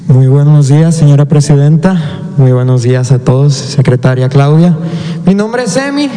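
A man's voice: on the high side, lively intonation, moderate pace, mellow. A young man in his twenties with a Mexican accent, a singer introducing himself with polite, formal greetings. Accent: Mexican Spanish. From Jalisco, Mexico. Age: 20s. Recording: reverberant hall from a distance.

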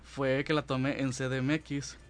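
A man in his thirties with a Mexican accent, telling stories as a guest in a casual chat, animated and rambling. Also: medium pitch, moderate pace. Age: 30s. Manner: animated, rambling, filler-heavy. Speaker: guest, hobby photographer. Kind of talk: casual storytelling.

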